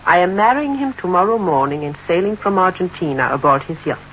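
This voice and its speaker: A woman's voice: fairly low pitch, animated, fairly quick, lightly acted. An actress playing a guarded woman with a hidden past, answering in short, reserved lines in an old radio play. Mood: confiding. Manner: reserved, clipped replies. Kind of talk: 1940s radio acting. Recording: muffled old radio recording, faint hiss.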